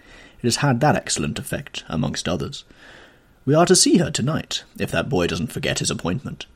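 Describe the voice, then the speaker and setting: A man voicing a languid, witty aristocratic gentleman, with a drawling manner, in a storybook reading. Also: mid-range voice, big pitch swings, moderate pace, vocal fry, husky, pitched above the performer's own voice, full character voice. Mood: amused.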